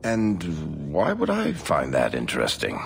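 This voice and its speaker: An actor playing a wry, streetwise male dwarf storyteller in a video game, glib and sardonic with a husky voice. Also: a bass voice, huge pitch swings, unhurried, a little hiss or hum, heavily acted. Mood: wry, evasive. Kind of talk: video game character acting.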